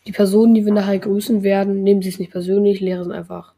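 A woman's voice: mid-range voice, flat delivery, quick pace, mellow. A young woman in her teens hosting a casual podcast, rambling along with lots of filler words. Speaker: podcast host.

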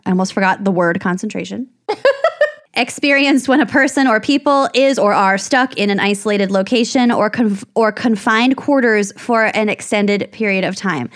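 A woman co-hosting a podcast in casual chat, rambling and breaking into giggles. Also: medium pitch. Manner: giggly, rambling, laughs often. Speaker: podcast co-host.

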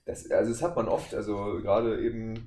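A man's voice: fairly low pitch, fairly even intonation, a little fast, mellow. A man speaking as a podcast guest, explaining things casually and at length in a discursive, circling way. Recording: echoey room, faint background noise.

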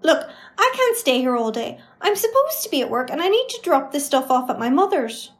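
A woman's voice: high-pitched, sing-song, brisk. A woman playing a blunt, defensive shopper in an audio drama who is accused of murder. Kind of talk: amateur audio drama acting.

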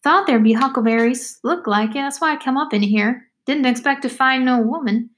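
A woman's voice: high voice, moderate pace, pitched above the performer's own voice, natural voice. A woman voicing a ragged, talkative, hungry runaway girl with blunt rustic speech in a story reading. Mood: blunt curiosity.

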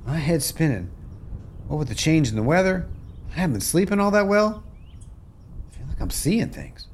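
A man acts a plainspoken American rancher for a radio drama. His fairly high voice moves up and down a lot, with folksy, nostalgic phrasing. Faint noise sits behind it. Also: big pitch swings, moderate pace. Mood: bewildered. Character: wistful male rancher, nostalgic and bewildered. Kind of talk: radio play acting.

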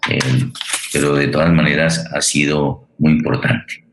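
A man with an extremely low voice speaks as the host of a theatre forum. He is deliberate and halting, often searching for the right word. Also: level tone, background noise. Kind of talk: introducing a guest at a public forum.

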